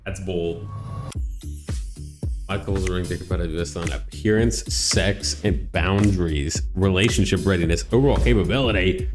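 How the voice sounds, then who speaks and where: A man's voice: sits low, normal speed, music in the background. A man giving casual, off-the-cuff reaction commentary as a video host.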